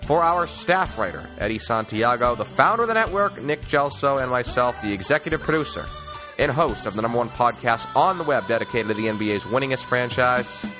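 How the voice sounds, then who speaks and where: A man's voice: mid-range voice, expressive, fairly quick. A man hosting a sports talk podcast in an emphatic, wordy announcer style. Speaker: podcast host. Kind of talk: sports talk interviewing and show sign-off. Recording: music bed under the voice. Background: music.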